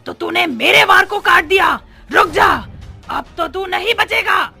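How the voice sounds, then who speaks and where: Woman acting a shrill, shouting evil witch. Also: animated, unhurried, vocal fry, soft background music, full character voice. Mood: furious, threatening. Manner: shouting, taunting, cackling. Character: menacing gloating man-eating witch, ghost of murdered old woman. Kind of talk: Hindi horror storybook voice acting.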